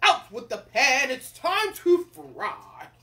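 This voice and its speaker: A woman voicing a hungry storybook king who is bossy and loves food, in a commanding, drawn-out delivery. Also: high voice, sing-song, gravelly, bright, forceful tone, voice pushed up, exaggerated voice.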